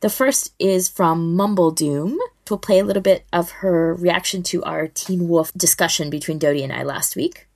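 A woman's voice: on the low side, fairly even intonation, normal speed, creaky, hardly any pauses, a little mellow. A woman hosting a podcast in casual chat, talking informally and conversationally. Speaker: podcast host.

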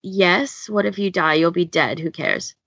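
A woman's voice: fairly low pitch, measured pace, fairly bright tone, no room sound. A woman podcast host chatting casually in a blunt, emphatic way on a lo-fi recording. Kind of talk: casual chat. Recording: dull lo-fi audio.

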